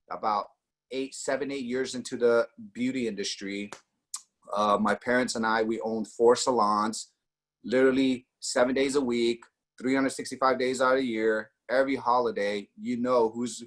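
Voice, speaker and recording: A man in his forties from Austria, telling his personal story in a casual way, with many fillers and acted quotes. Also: mid-range voice. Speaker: network marketing guest.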